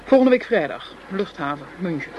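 A teasing crime boss in a radio play, with a high-pitched voice. The manner is self-assured and wary. Faint ambient noise sits behind the speech. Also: male voice, big pitch swings, moderate pace, muffled recording. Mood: cool, teasing. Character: cool, teasing crime boss who trusts nobody. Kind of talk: Dutch radio play acting.

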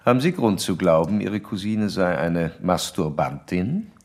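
An actor playing a self-assured psychoanalyst in a radio drama, speaking in measured, formal, didactic sentences. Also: male voice, low voice, animated. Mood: clinical, probing. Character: male psychoanalyst doctor, clinical, probing, self-assured. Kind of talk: radio drama dialogue and letter monologue.

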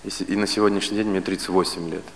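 A man in his late thirties giving a philosophy lecture, speaking in a measured way with pauses and restarts. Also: low-pitched, little pitch movement, creaky, big-room sound, slight background noise. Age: 30s.

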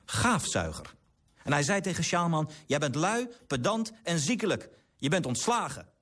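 An actor playing an earnest young clerk and writer who narrates in a literary radio drama. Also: male voice, a little high, very animated, normal speed, fairly bright tone, no room sound. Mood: earnest reporting.

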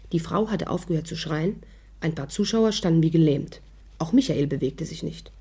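A woman's voice: lowish voice, mellow, husky. An actress in a 1950s radio play, narrating her own adventure in a composed, scripted storytelling manner.

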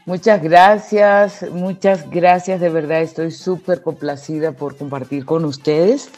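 A Dominican woman with a mellow, dark-toned voice gives reflective explanations as an interview guest. She speaks deliberately and leans on key words, with faint music underneath. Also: low-pitched, fairly even intonation. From Dominican Republic.

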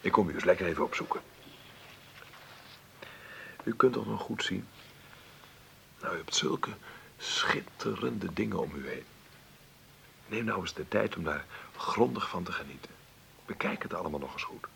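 A man's voice: low-pitched, animated, moderate pace, vocal fry, long pauses, sharp tone, a little hiss or hum, full character voice. An actor playing a man in his forties in a Dutch radio play, soothing and coaxing his uncle with cheerful encouragement. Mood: cheerful, encouraging. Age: 40s. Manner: coaxing, reassuring. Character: forty-three-year-old nephew, soothing and cheerfully encouraging.

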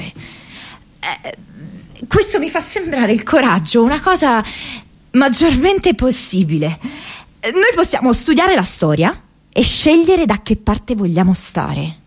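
A woman's voice: fairly high pitch, slightly rough, heavily acted. An actress playing an earnest, quirky young woman activist in a radio monologue, hesitant and rambling, often stammering and repeating herself.

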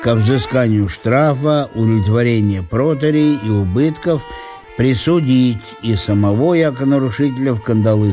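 An actor playing a pompous, indignant provincial landowner in a solemn audio play, speaking in florid officialese. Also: male voice, medium pitch, warm tone, lightly acted. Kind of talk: theatrical audio-play monologue.

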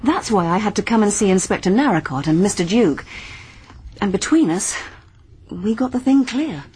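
A woman's voice: medium pitch, expressive, moderate pace, a little mellow, slightly rough. An actress playing a keen, clever young woman sleuth with an English accent in a radio mystery drama. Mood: assured, revealing. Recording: faint background noise.

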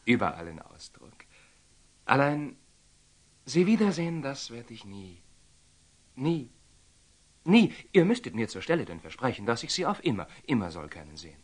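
An actor playing a proud, tormented young Knight Templar in a classical German radio drama, impulsive and declamatory, moving between muttering and outbursts. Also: male voice, medium pitch, big pitch swings, moderate pace, pauses often. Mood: pleading, ardent. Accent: standard German.

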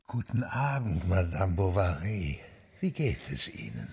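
An actor playing a stout, kindly but obtuse village priest in a German radio drama, husky and chatting along obliviously. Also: male voice, deep voice, sing-song, a little slow, a little mellow, heavily acted. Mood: genial, oblivious. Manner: bluff, chatty, obliviously cheerful.

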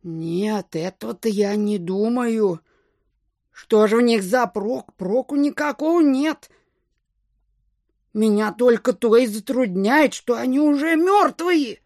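An actress playing a slow-witted, stubborn old widow landowner in an audio drama, with a husky, creaky voice and a hesitant, plaintive, folksy manner. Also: female voice, fairly high pitch, darkish tone, no room sound, studio quality. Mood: doubtful, hesitant. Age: older. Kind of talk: classic literary audio drama acting.

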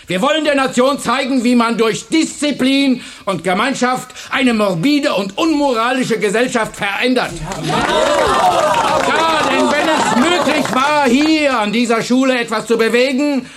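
An actor playing an intense, domineering and defiant high school teacher in a German radio play. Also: male voice, unhurried, background noise. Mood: rousing, commanding. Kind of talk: German radio drama acting.

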